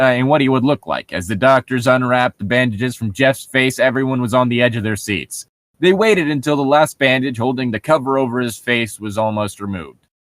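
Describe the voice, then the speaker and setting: Male comedic reader, animated and wide-ranging, dry recording, profane sarcastic asides in a casual style. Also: mid-range voice, no room sound. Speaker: host reading and riffing on a horror story aloud. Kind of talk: comedic read-aloud with casual commentary.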